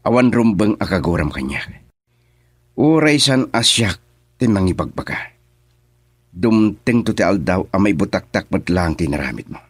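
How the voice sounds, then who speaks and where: Man playing a low, taunting schemer. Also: big pitch swings, normal speed, long pauses, slightly rough, dry recording, exaggerated voice. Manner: taunting, menacing. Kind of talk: radio drama acting.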